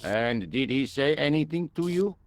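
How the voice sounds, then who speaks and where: A man's voice: medium pitch, animated, natural voice. Pompous French police detective with swooping intonation, acted by a man. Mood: suave, formal. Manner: pompous, deliberate. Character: pompous, self-important French police detective, condescending to his assistant. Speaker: police inspector. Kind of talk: video game voice acting.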